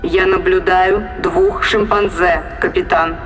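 An actress playing an impassive female ship's computer in a science fiction audio drama, giving formal, measured status reports. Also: on the low side, steady tone, faint music behind.

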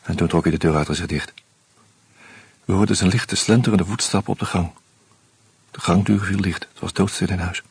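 A man reading a first-person novel aloud in a calm, measured, subdued way. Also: deep voice, gravelly, breathy edge, no echo. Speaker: narrator.

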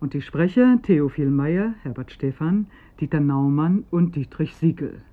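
A man's voice: on the high side, animated, a little slow. A man formally announcing the credits of a radio play from a script. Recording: narrow phone-like radio sound.

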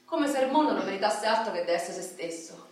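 A woman's voice: medium pitch, animated, fast talker, darkish tone, close to an everyday voice. An actress playing a motherly, melancholy working-class Roman woman in a radio play, speaking colloquially with a Roman accent. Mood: gloomy, resigned. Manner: colloquial, confiding, with tag questions. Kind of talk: radio theatre monologue. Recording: very echoey room, distant from mic.

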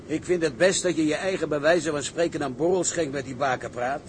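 Actor as wry, higher-voiced sceptical seaman. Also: fairly quick, a little hiss or hum, close to an everyday voice. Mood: dismissive. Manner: wry, down-to-earth. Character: sober, sceptical male ship's engineer, wry crewmate. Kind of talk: dramatised seafaring story, scripted acting.

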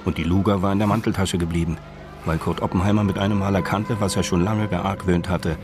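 A man's voice: low-pitched, steady tone, fairly quick, music in the background. A man reading scripted narration for a radio crime drama.

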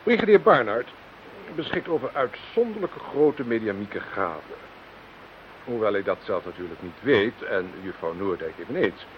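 An actor playing a smug, condescending scientific expert in a radio play, speaking formally and pompously. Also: male voice, lowish voice, animated, moderate pace, close to an everyday voice. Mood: smug, fascinated. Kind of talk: Dutch radio play acting. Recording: dull, hissy radio play recording.

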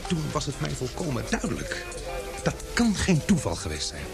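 An actor playing a courteous, inquisitive man in an old-fashioned radio play, speaking in a measured, gently probing way. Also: on the high side, huge pitch swings, vocal fry, music in the background, exaggerated voice. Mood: grave. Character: courteous, inquisitive man caught up in murder intrigue.

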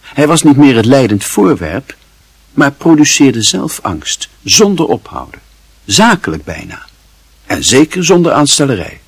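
A man's voice: medium pitch, very animated, a little slow, husky, no echo. A man reading literary fiction as a narrator, in a dramatic, scripted storytelling style.

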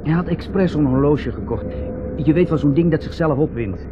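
Actor as an anxious husband, lively higher-pitched voice. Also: male voice, normal speed, close to an everyday voice. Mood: uneasy. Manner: fretful, hesitant, short clipped phrases. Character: anxious, fussy husband on a hot holiday trip. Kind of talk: radio drama dialogue. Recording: muffled, noisy 1970s radio recording.

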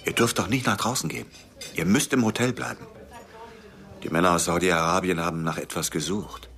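An actor playing a protective American man who questions gently and informally in a radio drama. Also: low-pitched, brisk, vocal fry. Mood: concerned. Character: protective, probing American man named Tom.

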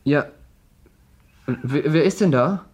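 A flippant young amateur detective in a radio crime play, his voice fairly high. He banters in a mock-grand manner, eager and playful, over faint noise. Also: takes it slowly, darkish tone. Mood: puzzled. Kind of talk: German radio crime drama acting.

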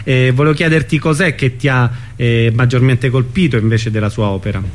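A man hosting a radio interview, putting his questions in a hesitant, halting way. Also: medium pitch. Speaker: radio host interviewing a guest.

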